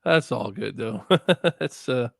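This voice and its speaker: A man from Canada talks as a podcast guest, relaxed and quick to laugh. His voice is gravelly, with fry at the ends of phrases. The sound is dry and close, with no room in it. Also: mid-range voice, no echo. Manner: relaxed, laughs often, swears freely. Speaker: guest filmmaker. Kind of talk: casual podcast chat.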